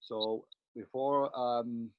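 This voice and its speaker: A man in his sixties from Switzerland with a Swiss accent, a cardiologist giving a halting talk over slides with many fillers and restarts. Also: medium pitch, fairly even intonation, no echo. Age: 60s. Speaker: cardiologist guest. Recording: dry, muffled lo-fi sound.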